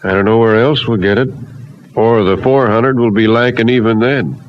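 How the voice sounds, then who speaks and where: An actor playing a calm, determined mentor and business partner, plain-spoken and paternal in an old radio soap. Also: male voice, medium pitch, normal speed, lightly acted. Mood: resolute. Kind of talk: old-time radio soap opera acting. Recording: dull, noisy old radio recording.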